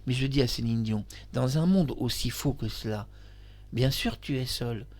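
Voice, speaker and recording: A man's voice: mid-range voice, moderate pace, darkish tone. A man delivering a spoken opinion monologue, ranting and emphatic, repeating himself and speaking straight to a celebrity. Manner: repetitive, emphatic. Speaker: video commentator.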